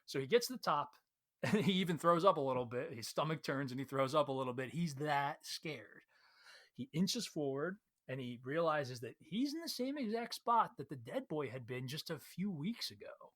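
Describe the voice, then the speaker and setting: Higher-pitched man casually recapping a story. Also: expressive, moderate pace, fairly bright tone. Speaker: read-along host.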